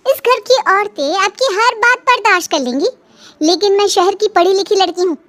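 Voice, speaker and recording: A bold, educated young bride from the city, voiced very high and questioning everything in an animated moral tale, as a woman puts her on. Her indignant voice sits over faint background music. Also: normal speed, dry recording. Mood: defiant.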